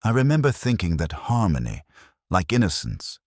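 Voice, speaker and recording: Male actor as melancholy detective inspector, very deep voice, measured reflective audio drama narration, dry close sound. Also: huge pitch swings, darkish tone, dry recording, exaggerated voice. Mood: somber, resigned. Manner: measured, literary, brooding delivery. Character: weary, melancholy, razor-minded male police inspector, reflective.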